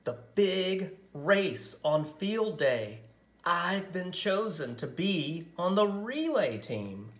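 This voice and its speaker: A man's voice: high-pitched, sing-song, takes it slowly, reverberant, voice pushed up, exaggerated voice. A man voicing an eager, stubborn young schoolgirl while reading a picture book aloud. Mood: breathless, excited.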